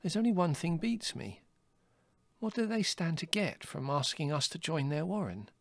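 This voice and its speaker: A man's voice: on the high side, very animated, normal speed, creaky, fairly bright tone, no room sound, voice pushed up, heavily acted. A man reading a storybook, voicing a clever young male rabbit who reasons things through. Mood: reasoning.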